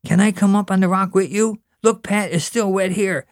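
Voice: steady tone, moderate pace, dry recording, studio quality, lightly acted. A reader voicing a curious, whiny little boy, nagging his older brother with questions. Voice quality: very high, childlike.

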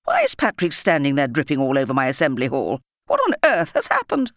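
A woman's voice: very deep voice, wildly swooping pitch, brisk, no room sound, studio quality, heavily acted. A woman voicing a stern, cross, imperious school headmistress in an audiobook story. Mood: cross, demanding. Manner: imperious, demanding.

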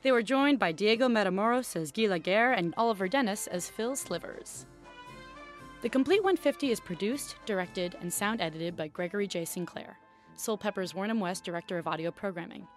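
A woman's voice: mid-range voice, a little fast. A woman reading scripted closing credits as a podcast announcer. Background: music.

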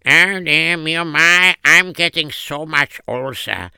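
A man's voice: a little high, slow pace, gravelly, sharp tone, smooth, no room sound, clean studio sound, full character voice. A man voicing a worried, aging father who sighs and frets about getting older. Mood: fretful. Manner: sighing old-man exclamations.

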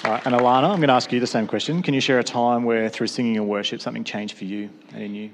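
A man's voice: medium pitch, fairly even intonation, very fast, a crowd in the background. A man, a pastor, hosting a worship leaders' panel and asking guests questions with brief, encouraging replies. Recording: long hall reverb, faint noise.

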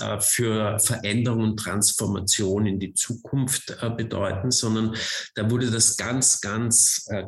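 A man with a Viennese accent gives a guest talk, working through his thoughts and often restarting sentences. His voice sits on the low side, and the room around him adds a clear echo. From Vienna, Austria. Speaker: guest speaker, author. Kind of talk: panel talk presentation.

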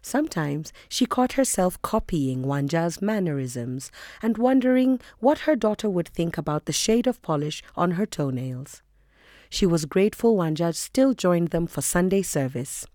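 A woman narrates a novel from a script. Her voice is a little low, and the sound is very dry with no room echo. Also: expressive, normal speed, dark tone.

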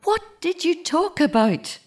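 An insistent grieving mother, expressive pitch. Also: unhurried, full character voice. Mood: probing. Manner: probing, insistent, earnest. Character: grieving, searching mother of a dead teenage son. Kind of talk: naturalistic audio drama acting.

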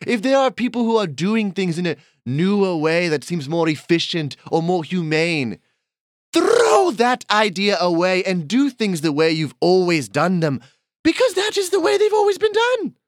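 A grandiose llama aristocrat, voiced by a man holds forth in an affected posh accent, pompous and theatrical. His voice sits fairly high and swoops widely. The sound is dry and close. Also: very animated, normal speed, no room sound. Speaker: guest host. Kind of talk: improvised comedy podcast character.

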